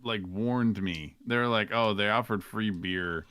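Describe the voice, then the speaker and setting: A man's voice: lowish voice, measured pace, pushed and bright, clear, resonant tone, no echo. A man chatting casually as a podcast guest, telling gaming stories. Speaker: podcast guest, game roleplay streamer. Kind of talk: casual storytelling chat.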